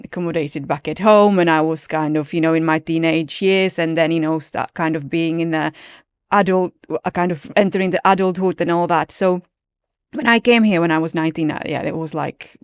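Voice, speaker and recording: A woman's voice: fairly low pitch, moderate pace, clean tone, dry recording, studio quality. A woman in her late thirties with a Czech accent, a yoga teacher chatting as a podcast guest in an earnest, reflective way, often restarting her sentences. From Czech Republic. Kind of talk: casual chat.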